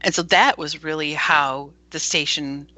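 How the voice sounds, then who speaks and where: A talkative woman speaking as a guest in a casual interview, laughing easily as she tells how her radio station began. Her voice sits a little low yet rings bright and forceful. The sound is dry and close, with no room around it. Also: steady tone, measured pace, dry recording. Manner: chatty storyteller who laughs often.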